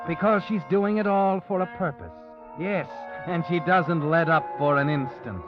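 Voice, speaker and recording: A man doing a brooding narrator who speaks straight to the hero in the second person, dark and knowing. The voice sits a little high. It comes through a muffled vintage broadcast with music underneath. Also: moderate pace, close to an everyday voice. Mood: ominous. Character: the Whistler, ominous male narrator speaking to the hero. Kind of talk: 1940s radio acting. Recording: muffled old radio recording.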